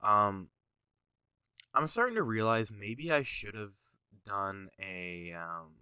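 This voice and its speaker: A man's voice: fairly low pitch, animated, slow pace, pushed and bright, clean tone, no room sound, studio quality. A young man with a stuffy nose, talking casually and rambling with lots of fillers as he reviews his own jersey designs. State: stuffy nose, sniffling, tired. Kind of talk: casual commentary over design images.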